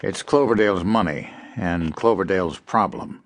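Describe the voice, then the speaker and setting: An actor playing a wry, cynical district attorney in a radio drama, with a dry way of talking. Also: male voice, sits low, normal speed. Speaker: prosecutor. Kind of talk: 1930s-style radio drama acting.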